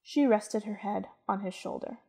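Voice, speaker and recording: A woman narrates a literary tale at a medium pitch. Her voice has noticeable creak as she reads the scripted prose. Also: moderate pace, dark tone. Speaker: story narrator.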